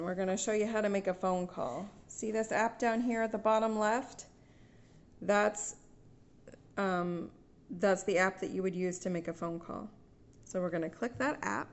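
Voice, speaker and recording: A woman with an American accent giving a casual, patient, step-by-step how-to at home. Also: medium pitch. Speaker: family member giving a phone tutorial. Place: indoors at home.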